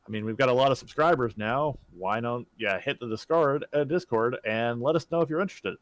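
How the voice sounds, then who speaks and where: A man with an average-pitched voice, recorded close and dry with no room sound. He chats casually as a gaming podcast co-host, laid-back and given to gentle teasing. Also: a little fast, no echo. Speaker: podcast co-host and game streamer.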